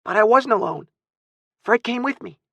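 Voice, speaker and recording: Grown man as little boy, much higher pitch, whiny, pleading, stubborn, childlike, audiobook character. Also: animated, normal speed, a bit bright, breathy edge, dry recording, exaggerated voice. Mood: earnest protest.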